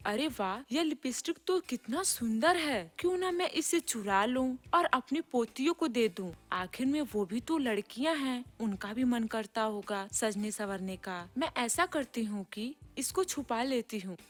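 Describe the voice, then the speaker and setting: Elderly grandmother, humble and affectionate, gentle high voice for a moral tale female performer. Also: lively intonation, measured pace, hardly any pauses, soft background music. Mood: musing. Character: kindly old grandmother, humble and affectionate housemaid. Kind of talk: scripted Hindi moral story acting.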